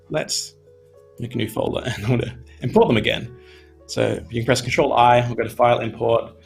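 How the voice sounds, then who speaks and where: A young man from Australia talks through an animation lesson in a loose, chatty way, full of small fillers. His voice is gravelly, with soft music playing faintly underneath. He is at a desk in his home studio. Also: mid-range voice. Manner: relaxed, chatty, many fillers like "you know". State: voice tiring. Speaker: animation instructor. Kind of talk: casual live-stream tutorial.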